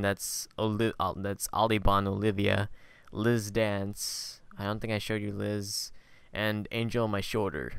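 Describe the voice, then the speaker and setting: A man's voice: fairly low pitch, clear, resonant tone, no room sound. A man hosting a podcast, chatting casually and telling anecdotes in an informal, slangy way. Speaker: podcast host.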